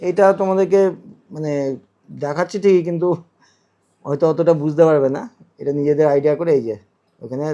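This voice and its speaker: Man, fairly high pitch, casual instructive vlogger, repetitive step-by-step explaining. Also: warm tone. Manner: instructive, repeats phrases while demonstrating. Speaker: vlogger demonstrating product installation. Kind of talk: casual hands-on tutorial narration.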